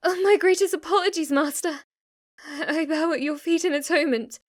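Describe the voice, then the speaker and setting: A woman's voice: high voice, moderate pace, no echo, clean studio sound, pitched above the performer's own voice, close to an everyday voice. A woman playing an obsessively devoted, submissive maid in an ASMR roleplay, speaking formally, reverently and flirtatiously to her master. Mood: apologetic, eager servant.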